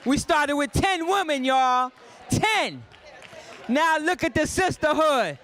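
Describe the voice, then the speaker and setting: A woman emceeing a celebration for a large audience, loud and playful, calling out to the crowd over its noise. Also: sits high, very animated, takes it slowly. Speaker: host.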